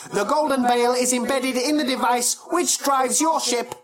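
A narrator voicing a solemn, patient, emotionless robot with a rasping, mechanical, deliberate delivery in an audiobook. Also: high voice, voice pushed up, natural voice. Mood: solemn, flat. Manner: slow, deliberate, flatly literal.

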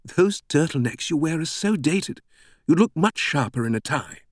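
A man's voice: a little high, lively intonation, normal speed, dry recording, studio quality, voice pushed up. A man voicing an exuberant, chatty middle-aged British woman with a sing-song, gushing delivery in an audiobook. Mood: playful, chatty.

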